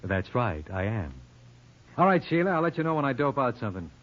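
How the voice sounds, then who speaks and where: A man's voice: mid-range voice, very animated, brisk, lightly acted. Man acting a breezy wisecracking radio lawyer, muffled. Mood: dry, cool. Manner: breezy, glib, teasing. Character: wisecracking, flirtatious male lawyer, cool and self-assured. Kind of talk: old-time radio detective drama acting. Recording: muffled old radio recording.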